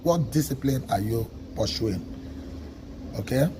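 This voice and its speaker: A man from Nigeria with a Nigerian accent, an Igbo speaker, giving a measured teaching talk on a livestream and often checking in with "okay?". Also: mid-range voice, animated, noisy background. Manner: measured, stresses single words, asks listeners "okay?". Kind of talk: slow, deliberate teaching talk.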